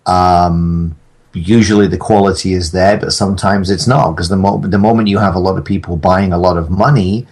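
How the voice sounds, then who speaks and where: A middle-aged man with a fairly low voice talks casually in a podcast conversation. He has a joking manner, dropping in mock-formal asides as he goes. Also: fairly even intonation, moderate pace, darkish tone, breathy edge.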